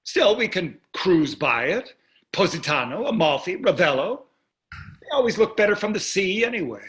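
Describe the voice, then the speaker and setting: A man's voice: high-pitched, very animated, moderate pace, fairly bright tone. An older man playing a wealthy, glib and dismissive husband and father in a staged play reading. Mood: exasperated.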